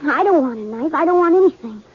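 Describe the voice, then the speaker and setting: A woman's voice: sits high, expressive, quick pace. A woman playing a hesitant, plain-spoken orphaned runaway boy in a radio western. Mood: sullen, hurt. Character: orphaned young runaway boy, sullen yet curious. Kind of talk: 1950s radio western acting. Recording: dull vintage radio recording.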